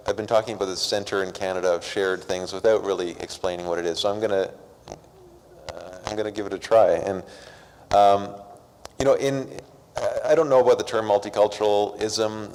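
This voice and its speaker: A man's voice: lowish voice, darkish tone. A man giving a seminar talk, tentative and hesitant, with fillers. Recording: reverberant hall, faint noise.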